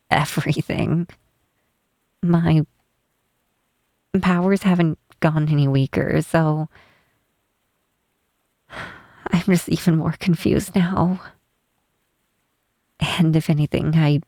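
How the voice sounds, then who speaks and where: A woman voicing a tall, shy, wistful half-troll cleric in a soft, hesitant ASMR roleplay, close to the microphone. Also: deep voice, fairly even intonation, no room sound, full character voice. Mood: troubled, confiding. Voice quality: soft, breathy. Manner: hesitant, halting, tender. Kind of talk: ASMR roleplay, intimate softly spoken acting. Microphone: close microphone, dry.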